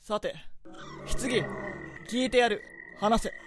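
A woman's voice: on the high side, steady tone, takes it slowly. A woman playing a haughty, short-tempered young prince in a radio drama, giving brusque, imperious orders. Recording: studio drama with background music.

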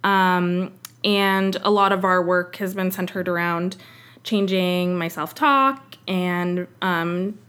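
A woman's voice: fairly low pitch, steady tone, slow pace, a bit bright, clean tone. A young woman hosting a podcast, talking casually with many fillers. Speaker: podcast host. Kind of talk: casual interview chat.

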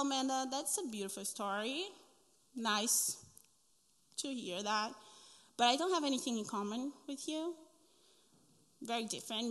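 A woman's voice: a little high, a bit bright. A woman in her thirties with a Brazilian Portuguese accent, sharing an earnest personal testimony at a church women's breakfast, with frequent ums and restarts. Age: 30s. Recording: long reverb of a hall.

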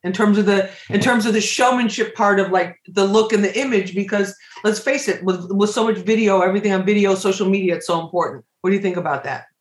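A man's voice: high voice, fast talker, few pauses, roomy sound. A man hosting a casual interview, rambling through his questions with many restarts. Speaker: radio host.

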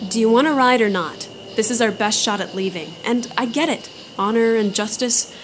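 A woman's voice: a little high, normal speed, slight background noise, close to an everyday voice. A woman playing a tough, sardonic space adventurer in an audio drama, with a wry, confident delivery. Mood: insistent. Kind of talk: audio drama character acting. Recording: music playing behind the voice.

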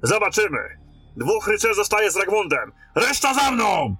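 A man playing a gruff, commanding and foul-mouthed knight lieutenant in a fantasy radio drama. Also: high voice, big pitch swings, moderate pace, bright, forceful tone, soft background music, heavily acted. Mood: firm. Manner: brusque, commanding.

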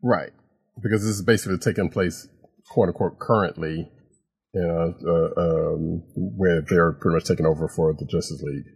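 A man with a very low, husky voice co-hosting a comics podcast. He rambles, backs up and restarts as he works through his thoughts on an issue. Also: steady tone, a little mellow. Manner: rambling, halting, many restarts. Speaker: podcast co-host. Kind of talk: casual comic book review chat.

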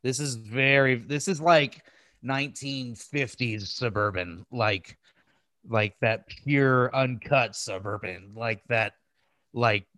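A man's voice: mid-range voice, takes it slowly, sharp tone, dry recording. A man co-hosts a casual podcast in a close, dry recording. He talks in loose, bantering chat and swears freely. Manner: profane, bantering. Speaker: podcast co-host.

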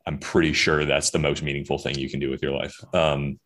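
Give me a young man with an extremely deep voice, chatting loosely as an interview guest. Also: little pitch movement, fairly quick, fairly bright tone. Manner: rambling, many fillers and restarts. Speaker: guest author. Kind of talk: casual podcast interview.

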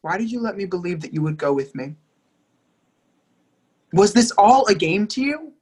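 A woman's voice: medium pitch, very animated, normal speed, darkish tone, husky. A woman in a play reading, playing a young lover who is heartbroken and pleading, hurt and sarcastic by turns. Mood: hurt, indignant. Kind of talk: readers theatre play reading.

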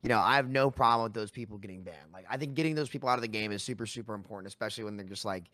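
A man's voice: on the low side, rapid-fire, sharp tone, no echo. A young man in a casual panel discussion, laid-back and rambling, with lots of fillers and "right?" asides. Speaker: panel guest.

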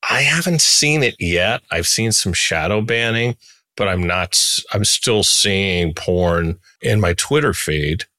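A man's voice: lowish voice, animated, takes it slowly, bright, forceful tone, dry recording. A man hosting a podcast interview in a casual, laid-back and blunt way.

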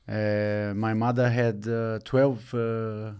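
Brazilian-born man, halting interview answers. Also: on the low side, level tone, a little mellow, clear, resonant tone. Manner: halting, searching for words, many fillers. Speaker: retiring football player, guest.

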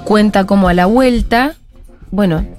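An Argentine woman hosts a radio interview in a conversational tone and reads out listener messages between questions. Her pitch sits in the middle range for a woman. Faint music plays under the studio talk. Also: measured pace, a little mellow. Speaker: radio host.

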